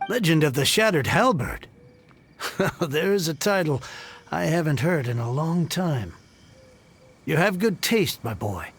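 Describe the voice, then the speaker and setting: A gravelly, somewhat high-pitched voice for a down-on-his-luck book collector, given to theatrical lament. Faint hiss sits under the game audio. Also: very animated, slow, pauses often, heavily acted. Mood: nostalgic. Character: impoverished middle-aged bookish shopkeeper, dramatic.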